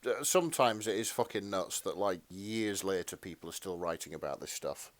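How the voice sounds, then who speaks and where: A man's voice: sits low, animated, normal speed, no room sound. A man co-hosting a podcast, chatting casually and reading fan fiction in a hesitant, bemused, sweary manner. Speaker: podcast co-host.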